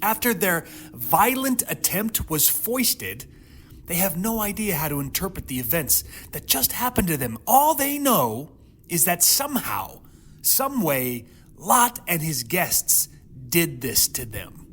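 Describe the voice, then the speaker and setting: Guy doing dramatic bible teaching, really animated and emphatic, kinda high voice, noisy background. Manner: emphatic, rhetorical questions, dramatic pauses. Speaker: host of a Bible teaching series.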